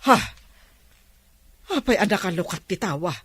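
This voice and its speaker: A woman's voice: medium pitch, lively intonation, normal speed, a bit bright, a little hiss or hum, close to an everyday voice. An actress playing a lonely, worried older village mother in a radio drama, with a hesitant, lamenting manner. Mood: alarmed, panicked.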